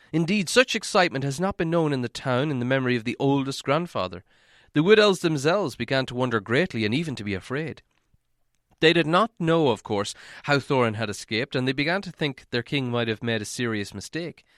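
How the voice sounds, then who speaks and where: A man reading a fantasy story aloud in expressive scripted narration. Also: medium pitch, dry recording, studio quality. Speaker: audiobook reader.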